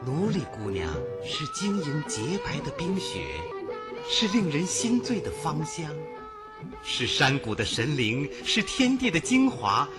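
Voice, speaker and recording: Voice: medium pitch, sing-song, unhurried. A narrator reading a lyrical film story in a scripted style for an archival 1980s radio broadcast. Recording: archival radio broadcast under loud music. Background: loud sitar music.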